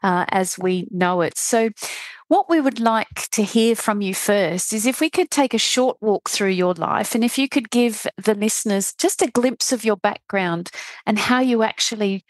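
A woman's voice: medium pitch, moderate pace, dry recording. Woman podcast host with a dry, close sound. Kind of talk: scripted introduction and interview.

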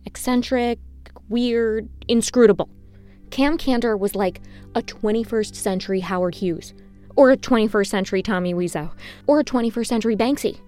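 A woman's voice: on the high side, normal speed, darkish tone, soft background music, lightly acted. A woman playing an opinionated pundit in a mock-documentary audio drama, talking in emphatic, clipped declarations.

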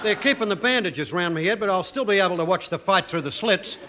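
An actor playing a timid, dopey, hapless sparring partner in a 1950s radio comedy, plaintive and hesitant. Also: male voice, high voice, animated, fast talker. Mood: meekly cheerful. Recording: very noisy old radio recording.

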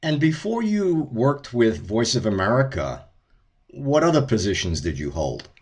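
A man's voice: medium pitch, very animated, a little slow, breathy edge. A man hosting a podcast, giving a formal introduction and asking questions. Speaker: podcast host. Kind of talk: podcast interview.

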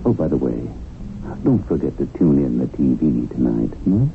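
A man playing a smug, streetwise devilish tempter in a 1970s radio drama, slangy and taunting, with a gravelly voice. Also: very deep voice, big pitch swings, normal speed, heavily acted. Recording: muffled, noisy 1970s radio recording.

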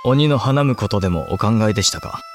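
A man's voice: lowish voice, lively intonation, moderate pace, faint music behind, lightly acted. Man acting earnest young samurai lord, formal speech. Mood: bashful, wry. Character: young samurai lord Takauji, earnest, self-deprecating bridegroom. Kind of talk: Japanese period audio drama acting.

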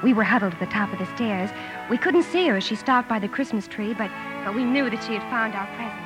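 A woman's voice: on the high side, quick pace, lightly acted, low-quality recording. A woman playing a proper, earnest young lady in an old radio drama, speaking with careful articulation. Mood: wistful. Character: proper, fretful teenage elder sister, recalling her girlhood. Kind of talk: 1940s radio drama acting and storytelling. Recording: old radio broadcast with orchestral music.

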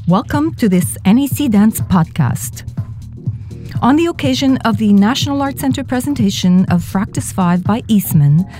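A woman reading a scripted podcast introduction in a smooth announcing manner. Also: mid-range voice, mellow.

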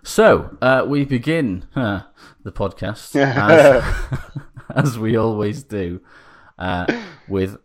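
A man's voice: fairly low pitch, expressive, slow pace. A man hosting a podcast, chatting in a wry, casual way and swearing now and then. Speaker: podcast host.

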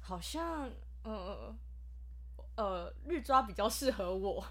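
A young woman from Taiwan hosting a casual podcast chat, animated and laughing often, tripping over her words. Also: mid-range voice, takes it slowly, crowd noise. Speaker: podcast host.

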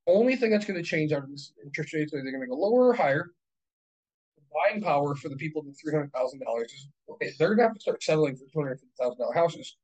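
A man in his thirties, a real estate investor, talking casually and bluntly, swearing and working through numbers out loud. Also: fairly high pitch, animated, fast, lo-fi sound. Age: 30s. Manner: profane, blunt, works through numbers aloud. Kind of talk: casual podcast chat.

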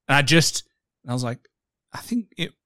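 A man hosts a casual interview and thinks aloud as he talks, wandering through his thoughts. His voice is smooth and clear, with a mid pitch, in a dry close sound. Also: sing-song, normal speed, bright, forceful tone, no echo. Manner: musing, rambling, thinks aloud. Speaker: podcast host.

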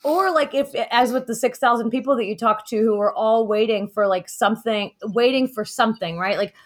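A woman's voice: on the high side, fairly quick. A middle-aged woman hosting a casual podcast conversation, telling stories and acting out inner thoughts as she goes.

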